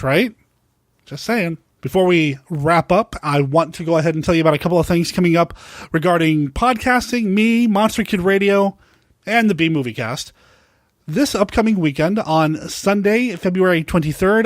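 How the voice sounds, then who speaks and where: Higher-voiced American podcast host, man, enthusiastic. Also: dry recording, studio quality. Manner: enthusiastic, complimentary. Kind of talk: casual podcast talk on classic monster movies.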